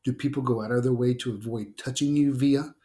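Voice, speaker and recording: A man's voice: medium pitch, steady tone, fairly quick, dark tone, close to an everyday voice. A man reading the part of a young boy in a storybook, a stubborn, sulky little brother who pushes back. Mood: challenging. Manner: stubborn, challenging. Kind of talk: storybook read-aloud dialogue.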